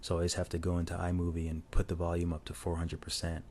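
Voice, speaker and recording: A man with a very deep, dark-toned voice rambles easily through a drawing tutorial voice-over, often restarting his sentences. He talks into a lavalier mic on a phone, with a faint hiss underneath. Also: fast. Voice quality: low voice. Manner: rambling, relaxed, many restarts. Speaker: illustrator narrating a drawing tutorial. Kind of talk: casual voice-over while drawing. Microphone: lavalier mic plugged into a phone.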